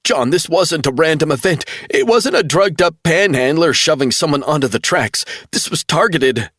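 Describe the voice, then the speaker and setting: A man's voice: animated, normal speed, a bit bright, breathy edge, no room sound. A grief-stricken young man, sobbing and pleading, his voice strained and raised far above normal. A man acts him out in a narrated story. Dry, close sound. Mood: distraught pleading. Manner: sobbing, pleading, high-strung. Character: distraught young man, grieving hacker.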